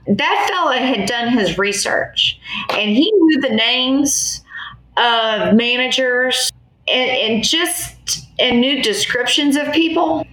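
A woman with an American accent answering interview questions as an attorney, in a measured, explanatory conversational way. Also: fairly high pitch, a bit bright. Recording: echoey, roomy sound.

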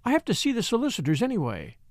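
A man voicing a composed, sensible woman in her thirties who speaks quietly and gently. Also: very high voice, huge pitch swings, racing, dry recording, studio quality, voice pushed up, heavily acted.

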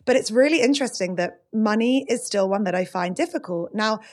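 Woman, mid-pitched, candid and chatty. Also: expressive, fairly quick, a little mellow. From United Kingdom. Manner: open, chatty, many "like"s. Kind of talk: casual podcast chat.

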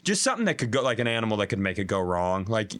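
A man co-hosting a comedy podcast in casual, profane banter, telling things in an animated way. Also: fairly low pitch, rapid-fire.

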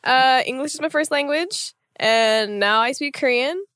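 A woman's voice: high-pitched, measured pace, a bit bright, clean tone, no echo, clean studio sound. A young adult woman chatting as a guest, rambling with lots of fillers. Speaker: guest, singer. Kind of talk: casual chat.

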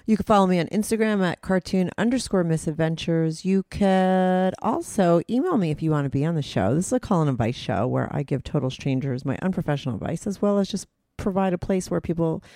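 Brief: New Jersey woman, about fifty, podcast host; gravelly, chatty, swears a lot, dry close mic. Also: on the low side, mellow, dry recording. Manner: chatty, swears freely, laughs at herself. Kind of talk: casual rambling podcast intro.

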